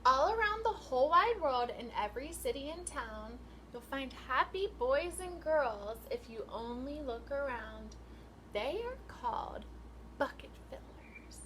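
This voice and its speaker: A woman's voice: high-pitched, lively intonation, a little slow, pushed and bright, slight background noise, rough recording. A woman teacher reading a picture book aloud to young children in an instructional way, with questions and prompts.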